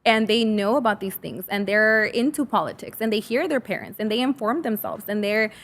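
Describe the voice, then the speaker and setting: A woman's voice: medium pitch, fairly quick, fairly bright tone. An American woman who grew up speaking Spanish, talking candidly and with animation as a teacher in a casual interview. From San Fernando area, California. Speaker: high school teacher, podcast guest.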